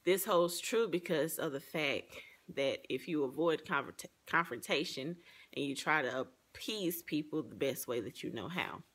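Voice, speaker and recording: A woman gives a personal, reflective presentation, partly read from notes. Her voice has a noticeable fry and gravel to it. She explains herself in an earnest way. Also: a bass voice, fairly bright tone.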